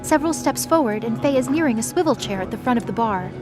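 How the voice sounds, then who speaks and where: High-voiced woman narrating over music. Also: lively intonation, quick pace. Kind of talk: scripted story narration.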